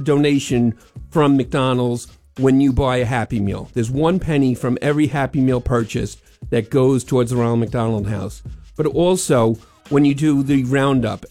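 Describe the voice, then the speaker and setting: A man's voice: medium pitch, darkish tone. An older man with a New York accent, a guest on a radio show, talking earnestly and rambling a little about a charity sponsorship. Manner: earnest, rambling, repeats "as far as it". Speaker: sponsor guest, restaurant owner. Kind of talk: radio interview.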